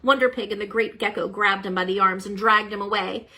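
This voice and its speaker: A woman reading a children's storybook aloud as narrator, with an expressive storytelling manner. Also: mid-range voice, brisk. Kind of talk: children's book read-aloud.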